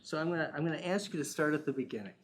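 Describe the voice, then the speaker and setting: Halting man hosting a class talk. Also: fairly high pitch, animated, a loud crowd. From Chicago area. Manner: halting, many restarts. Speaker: class moderator.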